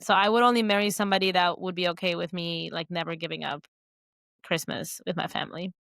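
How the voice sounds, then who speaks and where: A woman's voice: lowish voice, steady tone, quick pace, gravelly, a bit bright, no room sound. Woman podcast co-host, chatty and rambling, dry close recording. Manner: chatty, many fillers and restarts. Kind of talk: casual chat.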